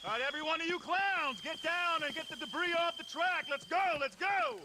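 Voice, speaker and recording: An actor playing a bullying army officer in a video game, barking commands at soldiers. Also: male voice, very high voice, brisk, noisy background. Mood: urgent, commanding. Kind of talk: shouted video game cutscene acting.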